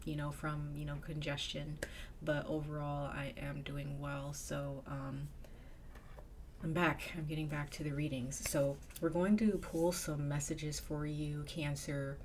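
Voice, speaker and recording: Low, gravelly woman reading tarot. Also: flat delivery, a little slow, a little mellow, a little hiss or hum. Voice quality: slightly nasal. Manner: chatty, drops to a whisper in invocations. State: getting over a cold. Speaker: tarot reader.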